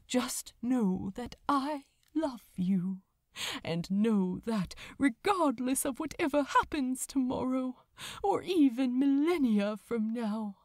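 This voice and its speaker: Woman acting male angel, audiobook character, earnest, tearful, fervent confession, halting phrasing, highish pitch, animated intonation. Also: no echo, clean studio sound, heavily acted. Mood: tender, resolute. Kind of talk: emotional audiobook character dialogue.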